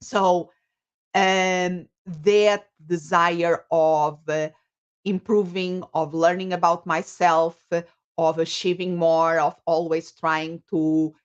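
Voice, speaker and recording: A woman from Portugal, a psychologist and coach, answering interview questions in a reflective way with many pauses, over a video call. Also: on the low side, level tone, smooth, dull audio.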